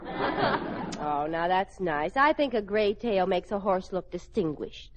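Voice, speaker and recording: An actress playing a wry, nosy housewife, arch and teasing with mock-innocent questions, in old-time radio comedy style. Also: female voice, mid-range voice, big pitch swings, takes it slowly, crowd noise. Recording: noisy, muffled old radio recording.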